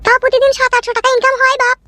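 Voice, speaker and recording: A woman's voice: very high voice, little pitch movement, very fast, bright, forceful tone, no echo. A woman voicing a middle-aged, scheming village mother-in-law in a comedy cartoon, fawning and folksy, with a Bengali accent. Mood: prying, delighted. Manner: fawning, folksy, repetitive endearments. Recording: music behind the voice.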